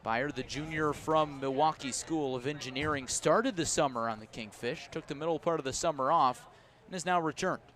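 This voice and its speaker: An American man doing live play-by-play baseball commentary for radio, measured between pitches and lifting on plays. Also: a bit bright. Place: outdoor ballpark broadcast.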